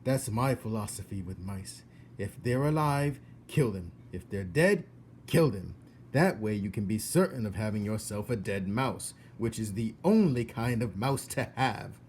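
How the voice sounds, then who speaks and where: A man voicing a bad-tempered, bossy woman cook in a storybook, scornful and barking out orders loudly. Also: on the low side, animated, unhurried, slight background noise. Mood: grimly certain.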